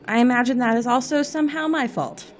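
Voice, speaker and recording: A woman's voice: a little high, fast, lightly acted. A woman playing a wry, world-weary agent in a scripted audio drama, with a dry, sardonic delivery. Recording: faint music under the voice.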